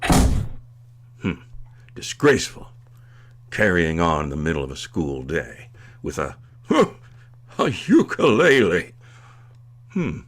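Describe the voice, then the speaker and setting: A man acts a stern, self-important school principal from a 1940s radio comedy. The voice is low and deliberate, huffing with pompous bluster and swinging widely in pitch. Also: big pitch swings, slight background noise, exaggerated voice. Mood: grumbling.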